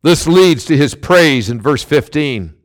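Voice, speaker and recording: A man preaching a sermon as pastor, loud and emphatic, with sudden swells and drops in his delivery. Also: on the high side, lively intonation, a little slow.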